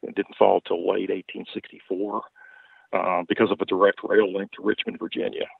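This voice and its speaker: A man's voice: low-pitched, flat delivery, moderate pace, gravelly, slightly rough, no echo. An older man from Baltimore with a light Southern accent, owner of a ghost tour business, talking in a folksy, rambling way with frequent chuckles. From Baltimore, Maryland. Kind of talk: podcast interview over a call. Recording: tinny phone-like line.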